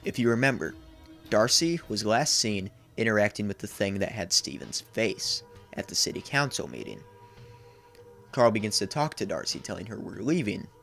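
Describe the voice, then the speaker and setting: A man's voice: fairly low pitch, sing-song, moderate pace, vocal fry, a bit bright, soft background music. A man in his twenties giving a scripted narration as a video essayist. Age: 20s. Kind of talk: scripted narration, horror series recap. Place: small indoor room.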